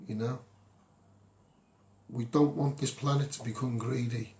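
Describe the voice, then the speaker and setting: A man's voice: mid-range voice, fairly even intonation, a little slow, warm tone. A man with a Scouse accent speaks off the cuff to his followers as a campaigner, in an earnest, exhortative monologue. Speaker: social media campaigner addressing followers.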